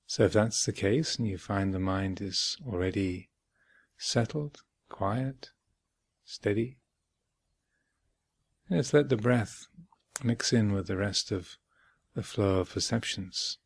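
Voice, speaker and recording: Very slow, creaky-voiced man guiding meditation. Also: on the low side, dry recording. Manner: measured, with long pauses between short phrases. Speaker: meditation teacher. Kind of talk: guided meditation instruction. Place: retreat center, close dry recording.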